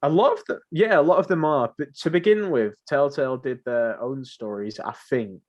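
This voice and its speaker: A man's voice: medium pitch, normal speed, darkish tone, highs cut off. A man co-hosting a podcast in casual, conversational chat about games. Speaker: podcast co-host.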